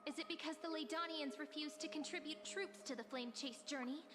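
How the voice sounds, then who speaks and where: A gentle young female traveller from a video game, played by a woman. Her voice is high and she speaks politely and a little tentatively. Music is clear in the background. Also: a little fast, natural voice. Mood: concerned. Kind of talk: video game character acting.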